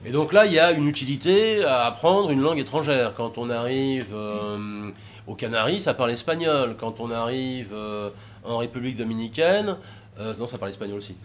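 A man's voice: mid-range voice, lively intonation, normal speed, slight background noise. A man talking informally about taking troubled youths sailing, rambling with many hesitations and fillers. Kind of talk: informal talk with audience questions.

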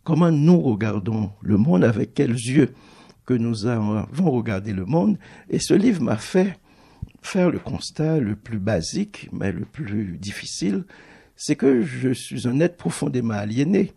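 Gravelly, husky-voiced man speaking reflectively. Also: mid-range voice, big pitch swings, mellow. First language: Creole. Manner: thoughtful, halting, restarts phrases often. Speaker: book publisher. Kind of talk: reflective public talk.

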